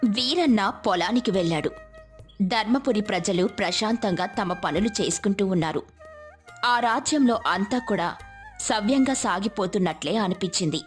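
A woman giving scripted storybook narration in a smooth, dramatic storytelling manner. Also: medium pitch, lively intonation, a little slow. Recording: faint music behind.

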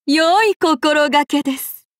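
A high, slow-spoken demon warrior woman in an anime-style game, polite and gentle on the surface but cruel underneath. Her lines come unhurried and dry, with no room around them. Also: dry recording. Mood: sweetly menacing. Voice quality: soft, polite yet threatening delivery. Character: cruel demon-blooded female warrior servant, sweetly menacing. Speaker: game character voice.

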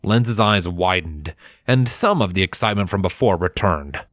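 A man reading scripted fiction as a narrator, measured and expressive in his storytelling. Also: sits low, dry recording, clean studio sound. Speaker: narrator of a fan fiction audiobook. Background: music.

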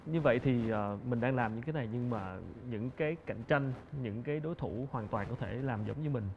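A man in his thirties hosting a TV talk show, asking polite, probing questions in a brisk way. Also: mid-range voice, muffled recording, a little hiss or hum. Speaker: host. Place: TV studio set, indoors.

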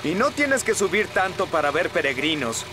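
High-voiced, easygoing rescuer. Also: male voice, sing-song, normal speed. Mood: helpful. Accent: Latin American Spanish. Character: helpful, easygoing young adult male mountain rescuer. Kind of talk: animated cartoon dubbing.

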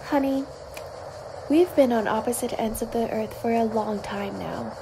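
Woman acting bitter, sardonic wife, highish voice. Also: moderate pace, creaky, background noise. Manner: sarcastic, cutting, profane. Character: bitter, sardonic, fed-up wife of rich spouse. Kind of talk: radio play acting.

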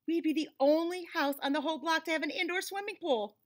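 A woman in her forties giving an expressive, dramatized storybook read-aloud for children in a small room. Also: extremely high pitch, fast. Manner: animated, clearly dramatized for children.

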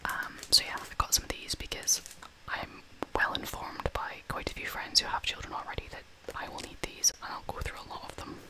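A young woman whispering in an unhurried, informal, chatty way, as in an ASMR shopping haul. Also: rough recording. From half Scottish, half American. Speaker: vlogger showing purchases.

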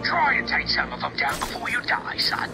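Gloating crime boss, sneering, lively pitch, game music underneath. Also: male voice, normal speed, creaky, exaggerated voice. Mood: mocking. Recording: game audio with music behind.

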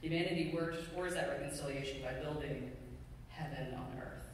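A middle-aged pastor preaching a sermon in a measured, deliberate way with pauses. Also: medium pitch. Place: church sanctuary pulpit, indoors. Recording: very echoey hall, distant mic, faint noise.

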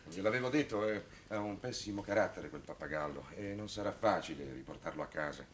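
A man playing a polite, measured parish priest in a 1960s Italian television drama. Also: low voice, expressive. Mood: courteous. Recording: slightly noisy old 1960s TV soundtrack.

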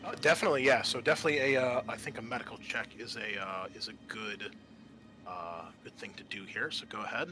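A man working as game master, improvising narration for a tabletop roleplaying game in a halting, thinking-aloud way. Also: fairly low pitch, sharp tone, faint music behind.

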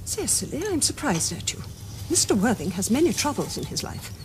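An actress playing a prim, stern middle-aged English governess in a period comedy, with precise, pompous diction. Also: female voice, fairly high pitch, big pitch swings, brisk, a little hiss or hum. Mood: stern, reproving. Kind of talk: period comedy screen acting.

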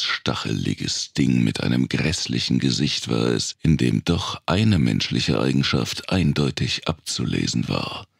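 Very deep, gravelly male audiobook narrator. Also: dry recording, clean studio sound. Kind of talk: scripted narration of a dark post-apocalyptic thriller audiobook.

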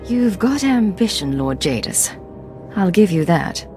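A woman's voice: on the low side, big pitch swings, a little slow, darkish tone, faint music behind. An actress playing a cool, defiant female secret agent in a video game, controlled and terse. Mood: dry confidence. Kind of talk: dramatic video game voice acting.

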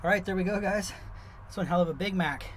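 A man's voice: high-pitched, quick pace, a bit bright, a little hiss or hum. A middle-aged man chatting casually to camera as a vlog host, in an informal, easygoing, rambling way, from the back of a truck camper.

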